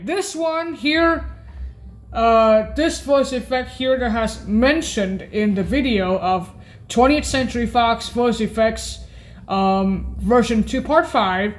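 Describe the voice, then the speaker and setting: Very slow, high-pitched woman presenting sounds. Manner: hesitant, deliberate, listing names one by one. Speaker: presenter demonstrating keyboard voice sounds. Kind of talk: halting informal music demo narration.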